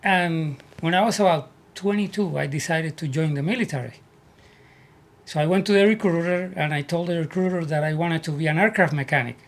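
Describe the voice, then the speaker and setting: A man from Puerto Rico with a Puerto Rican Spanish accent, telling his life story as an interview guest in earnest, halting English. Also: on the high side. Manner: earnest, repeats words as he searches for English. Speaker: guest, army veteran.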